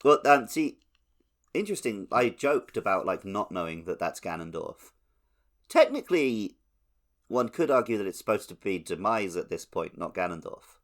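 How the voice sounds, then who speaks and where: A man with a very low-pitched voice co-hosts a casual podcast. His pitch swings in a lively, expressive way as he chats about games. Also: normal speed. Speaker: podcast co-host. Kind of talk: casual discussion of video games.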